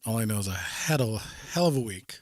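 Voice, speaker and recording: A man hosting a casual podcast, his voice sitting somewhat low and a little sniffly. He talks loosely and jokes his way through the chat. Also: expressive, a little fast. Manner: loose, joking banter. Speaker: podcast host.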